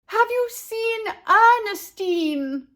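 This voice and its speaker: A woman's voice: very high-pitched, expressive, extremely slow, a bit bright, voice pushed up, heavily acted. A woman voicing a fretful, frazzled storybook mother who calls out anxiously. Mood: urgent. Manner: calling out anxiously. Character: fretful, frazzled mother searching for her daughter.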